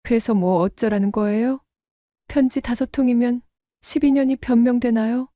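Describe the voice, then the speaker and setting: A woman's voice: a little high, steady tone, normal speed, warm tone, no echo, studio quality, natural voice. An actress playing a wounded, bitter middle-aged ex-wife and mother in an audio drama, guarded and reproachful. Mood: bitter anger. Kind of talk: Korean audio drama dialogue.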